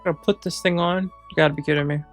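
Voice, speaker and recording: A man's voice: fairly high pitch, fairly even intonation, fast, creaky, soft background music. A man giving casual reaction commentary over gameplay, talking in a blunt, sarcastic, sweary way. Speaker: game streamer.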